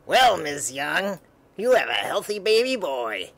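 A man voicing a menacing elderly mad doctor from a comic book, theatrical and wheedling, with a gravelly voice. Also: fairly high pitch, very animated, a little slow, a bit bright, voice pushed down, heavily acted. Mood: sinister coaxing.

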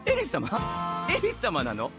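A man's voice: sits high, huge pitch swings, normal speed, music in the background, full character voice. A man playing a sarcastic, exasperated teenage boy in an anime audio drama, blunt and dry with explosive retorts. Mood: outraged.

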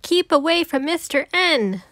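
A woman's voice: sits high, expressive, unhurried, fairly bright tone, no room sound, clean studio sound, voice pushed up, heavily acted. A woman voicing a mischievous, whiny little child with taunting exclamations in a children's storybook. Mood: cheeky, defiant. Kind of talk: children's storybook read-aloud.

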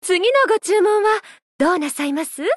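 A woman voicing a gentle, playful idol who loves a drink, speaking politely and teasingly in a mobile game. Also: very high voice, no echo, studio quality. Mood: cheerful, playful. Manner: polite, unhurried, teasing, softly flirtatious. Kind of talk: Japanese mobile game character voice lines.

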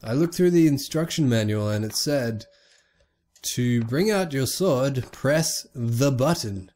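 Excitable young man swearing at a game. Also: mid-range voice, slow pace. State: sick. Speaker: gaming YouTuber. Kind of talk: casual let's play commentary.

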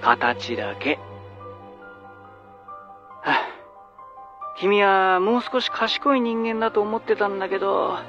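A man's voice: sits high, expressive, takes it slowly, long pauses, dull audio, over music, full character voice. A man acting a patronizing, dismissive middle-aged vice principal in a radio drama. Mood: cold, belittling. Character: condescending middle-aged vice principal, coldly self-serving. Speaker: actor.

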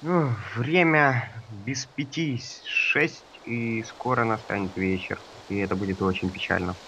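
A man's voice: fairly low pitch, measured pace, bright, forceful tone, slight background noise. A slightly ill man chatting casually with teammates during a group gaming session.

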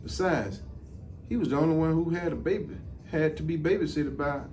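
A middle-aged man reads a children's storybook aloud in a measured, deliberate way. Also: a little high, darkish tone. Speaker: deputy fire chief. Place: indoor office, seated. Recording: distant mic, some background noise.

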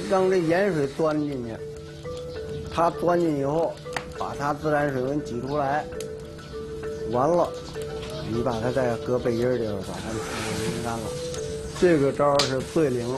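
A man, plainly explaining his woodworking craft lively emphasis in a documentary interview. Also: medium pitch. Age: 60s. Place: cluttered indoor workshop. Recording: documentary music underneath.

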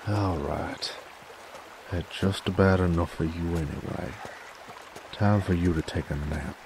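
Very deep gravelly Southern werewolf hunter. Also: male voice. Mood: irritated, threatening. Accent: American Southern. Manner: slow, folksy, threatening drawl. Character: gruff, menacing adult male werewolf bounty hunter. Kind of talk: roleplay audio acting, drawling cowboy talk. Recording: clear trickling stream noise behind. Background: flowing water ambience.

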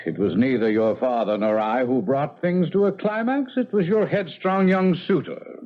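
A man's voice: a little high, normal speed, close to an everyday voice. An actor playing a suave, haughty English nobleman who threatens coolly and formally in a 1970s radio drama. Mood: smooth, smug. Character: suave, menacing aristocratic English villain forcing a marriage. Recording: muffled 1970s radio broadcast.

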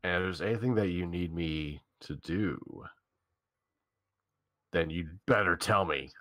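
A man's voice: deep voice, a little slow, fairly bright tone, dry recording, studio quality. A man reading a male lead's lines in an informal staged reading of a game, with joking asides.

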